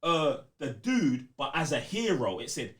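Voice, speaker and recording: A man's voice: medium pitch, very animated, unhurried, a little hiss or hum. A man with a London accent co-hosting a gaming podcast in casual chat, emphatic and slangy, repeating points to drive them home. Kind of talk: casual chat about video games.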